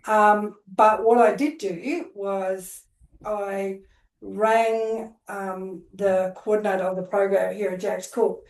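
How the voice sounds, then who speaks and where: A woman's voice: medium pitch, fairly even intonation, slow pace, recorded from a distance. Chatty Australian woman in an echoey room. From Queensland, Australia. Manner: chatty, rambling with fillers. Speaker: guest, social worker. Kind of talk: casual storytelling interview. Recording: roomy echo.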